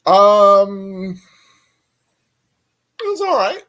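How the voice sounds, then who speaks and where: A man co-hosting a casual chat, speaking informally and a little haltingly. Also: high-pitched, big pitch swings, a bit bright. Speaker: co-host.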